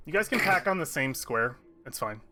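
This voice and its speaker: A man's voice: a little high, sing-song, moderate pace, sharp tone, soft background music. A man narrating a tabletop game as game master, with loose, rambling description full of fillers and restarts.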